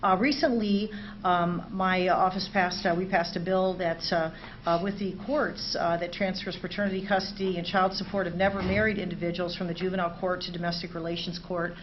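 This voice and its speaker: A middle-aged woman, a state legislator, giving formal remarks to a city council in a measured way with many "uh" fillers. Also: on the low side, steady tone. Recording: slightly dull meeting recording, faint hiss.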